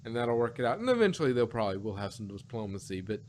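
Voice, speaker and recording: A man in casual conversation as a podcast co-host. His voice sits at an average male pitch. He talks in a halting, rambling way, doubling back on words as he reviews. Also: sing-song, darkish tone, a little hiss or hum.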